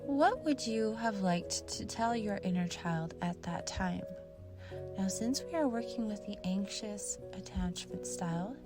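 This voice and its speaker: A woman narrating a guided meditation in a soft, soothing manner, with long pauses between short phrases. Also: mid-range voice. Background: meditation music.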